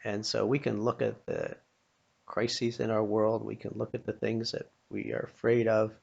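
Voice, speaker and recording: An older American man telling informal inspirational stories in a folksy, plain-spoken manner, pausing now and then. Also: on the low side, expressive, gravelly, breathy edge, dull audio. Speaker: storyteller sharing childhood memories with a religious lesson.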